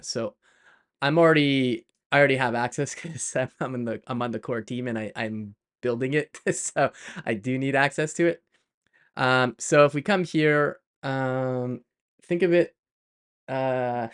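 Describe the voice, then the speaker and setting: A man's voice: mid-range voice, a bit bright, clean tone. A middle-aged man with a Canadian accent, chatting casually on a live stream, laughing often and full of fillers and restarts. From Canada (Montreal).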